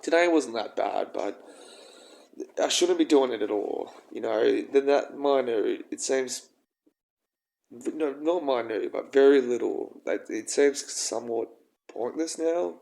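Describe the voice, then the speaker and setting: A young man with a croaky voice, rambling and halting through a casual personal vlog. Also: mid-range voice, darkish tone. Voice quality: croaky, gravelly. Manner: rambling, halting, with frequent ums and swearing. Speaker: vlogger.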